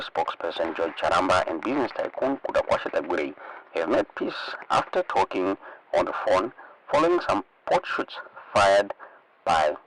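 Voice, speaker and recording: Deep-voiced Zimbabwean man presenting news, lively pitch. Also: distant mic, a little hiss or hum, rough recording. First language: Shona. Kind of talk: news commentary, reading reports aloud.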